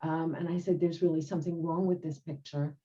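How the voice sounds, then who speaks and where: A woman in her sixties, a rabbi, telling her own story reflectively on a video call panel, with many pauses and ums. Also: sits low, steady tone, warm tone, dull audio. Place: home room.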